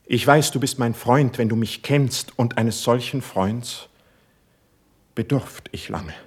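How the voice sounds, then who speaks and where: A proud, sensitive young court poet in a classical radio drama. His voice is mid-pitched and husky. He declaims his verse with fervour and lofty, high-flown feeling. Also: slow. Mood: ardent, pleading. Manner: fervent, lofty, declamatory delivery. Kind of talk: classical German verse stage acting for radio play.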